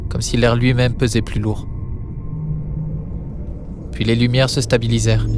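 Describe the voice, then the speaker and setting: A man narrates dark audio drama with a solemn delivery and little melodic movement. His phrases are short and heavy with suspense, and he speaks in a studio over a clear music bed. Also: mid-range voice.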